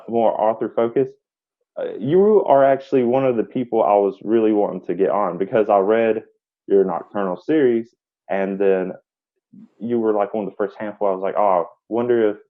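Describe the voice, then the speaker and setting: A man hosts a casual podcast interview, rambling through his questions with restarts. His voice sits on the lower side. The online call audio is tinny, like a phone line. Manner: rambling, many fillers and restarts. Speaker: podcast host.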